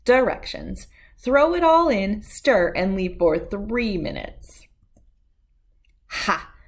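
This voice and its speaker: A woman reading a children's story aloud as narrator, with expressive, dramatic and deliberate phrasing. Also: medium pitch.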